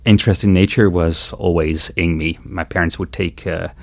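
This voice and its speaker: Low gravelly Dutch-accented man. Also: fairly even intonation, darkish tone, no echo, studio quality. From Netherlands. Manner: measured, explanatory, with some hesitations. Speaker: guest road ecologist. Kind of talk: interview answers.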